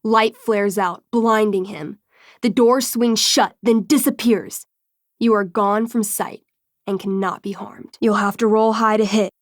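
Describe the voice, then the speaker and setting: A woman's voice: medium pitch, no room sound, clean studio sound, lightly acted. A woman playing a wry teenage game master, closely recorded. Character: friendly, theatrical teenage girl running a tabletop fantasy game. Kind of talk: dramatic tabletop storytelling and casual chat.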